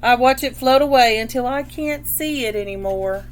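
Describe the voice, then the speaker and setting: Woman reading a kids book out loud, high voice, explains as she goes, hummy noisy recording. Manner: explanatory, pauses to check understanding. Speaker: read-aloud teacher. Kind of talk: picture book read-aloud with questions to children.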